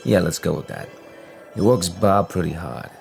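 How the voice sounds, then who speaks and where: Guy as a folksy storyteller, low croaky voice, informal, hesitant, kinda making it up as he goes. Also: a little mellow. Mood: hesitant, musing. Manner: halting, informal, plain-spoken. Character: reluctant, folksy male storyteller retelling a Christmas tale. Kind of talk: audio drama framing narration. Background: music.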